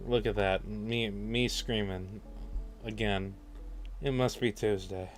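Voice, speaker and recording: A man's voice: lowish voice, steady tone, slow, soft background music. A man hosting a casual livestream, teasing and mock-indignant, often repeating himself. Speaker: livestream host.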